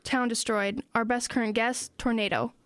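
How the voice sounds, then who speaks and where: A woman's voice: on the high side, fairly even intonation, moderate pace, fairly bright tone, dry recording, natural voice. A woman playing an analytical teenage schoolgirl in an audio drama, matter-of-fact and reasoning things out aloud. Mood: analytical, determined. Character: earnest, analytical teenage schoolgirl amateur sleuth.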